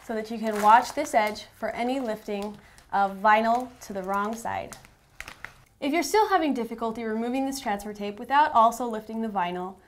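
A young adult woman presenting a step-by-step how-to tutorial in a measured, instructional manner. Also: a little high, slight background noise.

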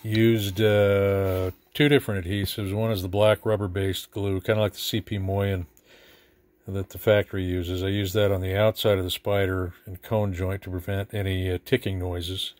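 A man giving a casual, hands-on repair demonstration with halting, unhurried explanations. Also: low-pitched, little pitch movement, darkish tone, dry recording. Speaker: speaker repair technician. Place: small repair workshop, indoors.

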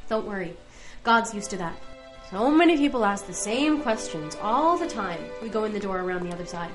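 A woman's voice: mid-range voice, lively intonation, moderate pace, over music, lightly acted, low-quality recording. A woman playing a breezy, wry celestial court aide in an audio drama, casual, teasing and matter-of-fact.

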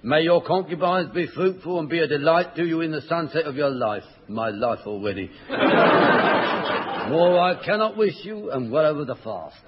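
A man's voice: fairly high pitch, expressive, normal speed, natural voice. Man as wry shopkeeper, noisy old radio. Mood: wry, obliging. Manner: haggling, wry, singsong comic patter. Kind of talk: 1960s radio comedy acting. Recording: dull, noisy 1960s radio, studio audience.

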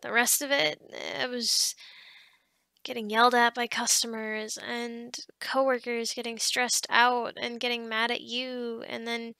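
A woman acting a kind, encouraging office boss in an ASMR roleplay, speaking softly and conversationally with hesitant pauses and sighs. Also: a little high, vocal fry, no room sound, heavily acted. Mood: venting.

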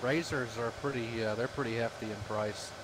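A man's voice: mid-range voice, fairly even intonation, moderate pace. A man chatting casually with fellow gamers over voice chat. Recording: noisy voice chat, clear hiss.

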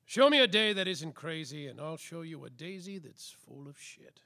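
An actor playing a world-weary, tipsy private detective in a slapstick noir radio play, with melodramatic hard-boiled narration. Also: male voice, on the high side, expressive, normal speed, creaky, bright, forceful tone, exaggerated voice. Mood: gloomy, wry.

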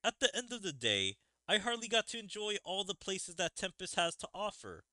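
A man's voice: sits high, big pitch swings, moderate pace, pushed and bright, no echo, studio quality, voice pushed up, heavily acted. A man voicing a weary, anxious young male hero from a fantasy game, reading the dialogue aloud.

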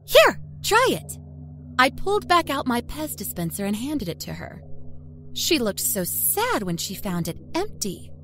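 Animated woman narrator of dark fiction. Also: on the high side, normal speed, slight background noise. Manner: expressive, storytelling. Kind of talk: dramatic first-person scripted horror narration. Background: musical score.

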